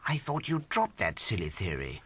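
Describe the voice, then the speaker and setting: A man's voice: deep voice, huge pitch swings, moderate pace, a bit bright, husky, exaggerated voice. An actor playing an impassioned, gallant English amateur astronomer in a radio drama, emphatic and old-fashioned in his speech. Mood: indignant. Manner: old-fashioned upper-class turns of phrase, emphatic.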